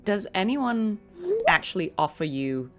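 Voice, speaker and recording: A woman's voice: mid-range voice, very animated, measured pace, fairly bright tone. A woman chatting casually and informally to viewers while livestreaming a game. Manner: chatty, informal, chuckles easily. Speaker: voice actress livestreaming gameplay. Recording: faint game music behind voice. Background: faint game music.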